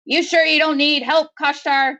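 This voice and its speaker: A woman's voice: high voice, level tone, moderate pace, bright, forceful tone, voice pushed up. A woman playing a commanding female prison colony warden in a story reading, giving curt, bossy orders. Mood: impatient, commanding.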